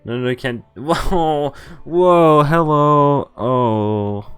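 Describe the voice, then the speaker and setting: A man's voice: a little high, drawn-out words, a bit bright, smooth, faint music behind. A man streaming a visual novel, reading its narration aloud and breaking off for wry comments, swearing and laughing.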